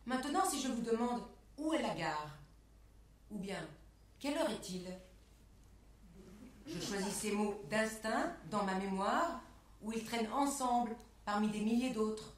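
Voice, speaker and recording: A woman delivering a scripted spoken exposé in a measured, carefully enunciated way, setting phrases apart like quotations. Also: fairly high pitch, animated, recorded from a distance, a little hiss or hum. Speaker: performer in a spoken-word performance lecture. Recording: echoey room, picked up from afar.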